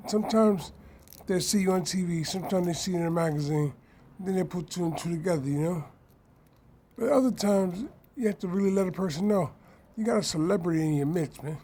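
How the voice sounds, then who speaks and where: A man's voice: sits high, darkish tone, husky. A man, getting over a cold, talking emphatically and repetitively in a casual live stream about his businesses. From New York. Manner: emphatic, repetitive, self-promoting. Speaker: fashion designer and business owner.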